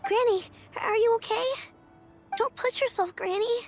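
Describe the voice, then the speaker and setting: A woman's voice: very high voice, normal speed, faint music behind. A woman voicing a sweet, anxious young grandchild in a video game, childlike and pleading. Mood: worried.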